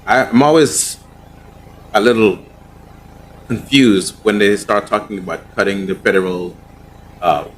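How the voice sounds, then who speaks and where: A man's voice: lowish voice, measured pace, long pauses, a little hiss or hum. A man moderating a virtual panel, affirming and conversational on a video call.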